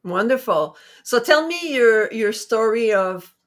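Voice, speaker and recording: A woman's voice: fairly high pitch, animated, slow pace. A middle-aged woman hosting her own talk show, chatty and upbeat, wandering a little as she explains her ideas. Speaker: show host. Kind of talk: casual talk-show intro.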